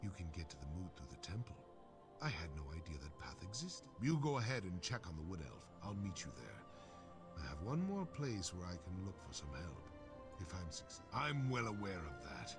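Earnest fantasy ally, urgent, highly animated delivery, medium pitch, video game dialogue, clear background music. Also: male voice, brisk. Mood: grave, urgent.

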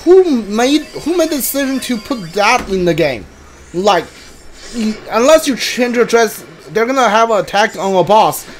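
A man streaming a game with casual, halting commentary, often repeating himself. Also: high-pitched. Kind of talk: casual live playthrough commentary. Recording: steady background noise.

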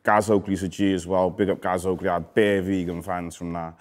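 Laid-back Cardiff man, low voice. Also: level tone, fairly quick. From Wales. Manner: laid-back, slangy, trails off quietly. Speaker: rapper reading comments. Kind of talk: casual chat. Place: indoor studio with graffiti wall.

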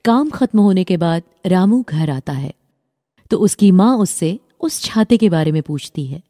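A woman's voice: fairly low pitch, lively intonation, unhurried, dark tone, no room sound, clean studio sound. A woman narrating a scripted children's story.

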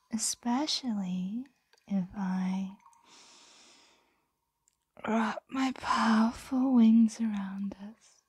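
A woman playing a possessive, teasing ancient dragon in a whispered ASMR roleplay, breathy, lingering, doting and predatory. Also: mid-range voice, dark tone, no echo, full character voice. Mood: smug, coaxing.

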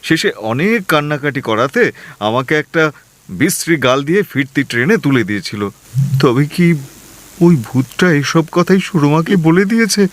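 An actor playing a nervous young husband in a radio play, who stammers and gets flustered easily. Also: male voice, a little high, expressive, measured pace. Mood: anxious. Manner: stammering, jittery.

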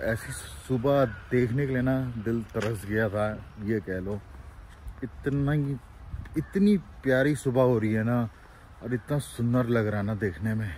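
A man's voice: mid-range voice, darkish tone, a little hiss or hum, rough recording. A man in his thirties, a travel vlogger, chatting casually and exclaiming over the scenery, filming himself outdoors on a phone at arm's length. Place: outdoors on a mountain road.

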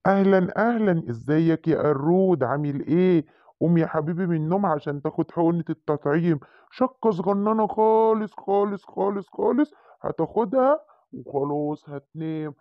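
A man's voice: a little high, steady tone, fast, dry recording, highs cut off, close to an everyday voice. A man voicing a kindly dog doctor who coaxes children into their shots, with an Egyptian accent. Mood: soothing. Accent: Egyptian Arabic. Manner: soothing, cajoling.

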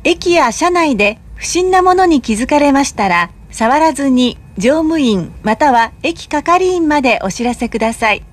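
A woman reading scripted train announcements in a polite, measured, carefully enunciated way. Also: high-pitched, expressive. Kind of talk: recorded public announcement, scripted.

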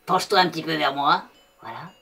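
A woman's voice: deep voice, brisk, vocal fry, sharp tone, far from the mic, soft background music, heavily acted. A woman voicing a bossy, nosy puppet in a puppet show, exclaiming and coaxing. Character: bossy, nosy hand puppet interrupting and showing off.

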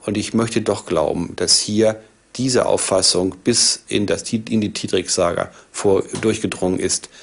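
A man in his sixties speaks as an academic expert, explaining in an interview. His voice is fairly low, with plenty of gravelly creak. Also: fairly even intonation, a little slow. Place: indoor book-lined study.

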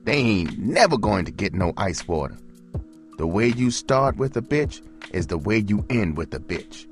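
A man playing a cold, hard older pimp who lectures in gruff street slang. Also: fairly low pitch, animated, normal speed, pitched above the performer's own voice, close to an everyday voice. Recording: music bed behind the voice.

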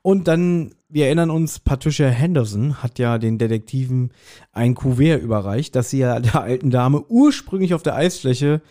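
A man's voice: medium pitch, moderate pace, dark tone. A man in his forties co-hosting a podcast, telling things in a chatty, jokey way and a casual style. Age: 40s. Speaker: podcast co-host. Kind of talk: casual podcast chat recapping an audio drama.

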